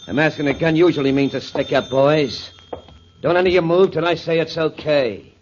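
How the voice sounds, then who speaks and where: A man's voice: a little high, fairly quick, lightly acted. Cocky, commanding 1940s radio stick-up man, acted by a man. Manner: commanding, taunting. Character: cocky masked stick-up man, smugly polite gangster. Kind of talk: 1940s radio crime drama acting. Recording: noisy muffled 1940s radio recording.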